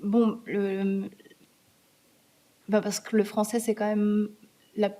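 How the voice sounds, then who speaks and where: Woman, guest author, hesitant, fillers and restarts, mid pitch, university talk. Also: flat delivery, slow, warm tone. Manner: hesitant, many fillers and restarts, laughs easily. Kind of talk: conversational Q&A answers. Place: university meeting room.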